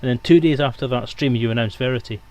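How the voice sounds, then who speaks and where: A man's voice: mid-range voice, fast talker, darkish tone. A man who streams games, chatting casually and informally in a group conversation. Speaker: game streamer.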